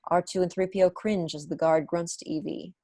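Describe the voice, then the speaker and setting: Low-voiced young woman narrating a script. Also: fairly even intonation, brisk, mellow, no echo, studio quality. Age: young adult. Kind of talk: scripted narration, reading stage directions. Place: room at home, on a video call.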